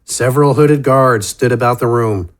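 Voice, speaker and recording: A man narrating a fantasy story from a script in a measured, descriptive storytelling manner. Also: medium pitch.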